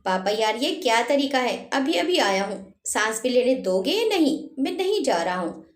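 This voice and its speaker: A woman's voice: on the high side, very animated, normal speed, roomy sound. A woman voicing a selfish grown son in a story, whining curtly and dismissively at his father. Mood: irritated. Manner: curt, whiny, dismissive.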